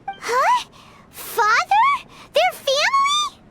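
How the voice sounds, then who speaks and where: Squeaky, very high fairy sidekick. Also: female voice, sing-song, extremely slow, pushed and bright, heavily acted. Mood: startled. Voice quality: squeaky, childlike. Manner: refers to herself in third person, blunt. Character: tiny childlike floating fairy companion, excitable and blunt. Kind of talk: video game voice acting. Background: children's-style background music.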